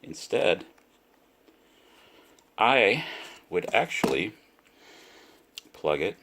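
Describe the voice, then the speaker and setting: A man giving a casual, unscripted product demonstration, speaking deliberately and repeating himself for emphasis. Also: fairly low pitch, very animated, background noise. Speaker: product reviewer.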